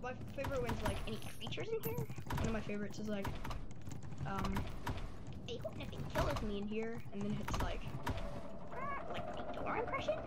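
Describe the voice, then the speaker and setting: A young teenage boy giving casual, rambling, slangy and excitable commentary while he plays a game. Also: high voice, brisk, pauses often, very noisy. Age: young teen. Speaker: gaming video maker. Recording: loud game music behind voice.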